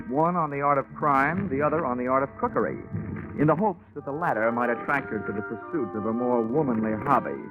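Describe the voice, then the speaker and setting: An American actor playing a wry, sardonic tough investigator in 1940s radio detective style, with deadpan quips. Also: male voice, medium pitch, phone-quality sound, natural voice. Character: wry, wisecracking tough male private investigator. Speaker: radio drama lead actor. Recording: band-limited 1940s radio recording with music.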